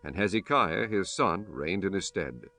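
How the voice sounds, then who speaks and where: A man narrating a dramatized Bible audiobook in a solemn, measured, formal way. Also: deep voice, animated. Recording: soft music bed under the voice.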